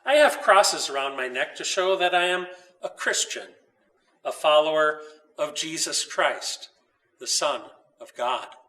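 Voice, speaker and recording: Male reader playing kindly old saint of a storybook, gentle, reassuring, clear bright tone, slightly off-mic. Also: fairly high pitch, expressive, measured pace, distant mic. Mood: explaining. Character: kindly, grandfatherly saint speaking from a holy icon.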